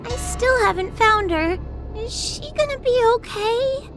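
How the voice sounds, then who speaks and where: A woman's voice: very high voice, expressive, a little slow, heavily acted. A woman voicing a timid, tearful young girl in a video game, whiny and pleading. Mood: tearful relief. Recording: loud music behind the voice.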